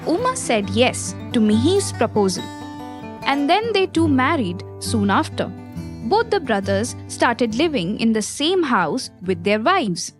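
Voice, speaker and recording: A woman's voice: a little high, big pitch swings, measured pace, soft background music. A woman narrating a scripted moral story for listeners.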